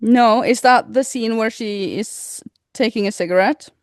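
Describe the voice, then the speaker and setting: A woman's voice: on the high side, unhurried, no echo, clean studio sound. A woman co-hosting a podcast, chatting casually in English. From Norway. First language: Norwegian. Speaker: podcast co-host. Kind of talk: casual chat.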